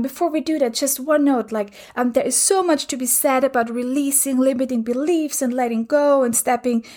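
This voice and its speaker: Reflective woman hosting a podcast. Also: sits high. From Austria. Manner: reflective, many fillers. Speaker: podcast host. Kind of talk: solo podcast monologue.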